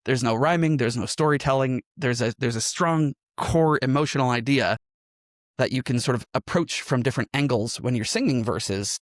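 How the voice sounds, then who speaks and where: A man's voice: mid-range voice, moderate pace, no echo, studio quality. A man speaking as a podcast interview guest, explaining his craft conversationally with examples. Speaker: interview guest, improv musical performer.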